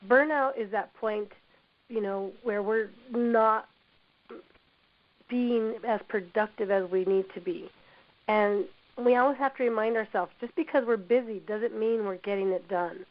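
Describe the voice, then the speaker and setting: A woman with a hoarse voice from a cold, presenting a workplace training webinar in an encouraging manner, often saying "you know". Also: medium pitch, a little slow. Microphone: computer mic on a webinar call. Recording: dull lo-fi web audio.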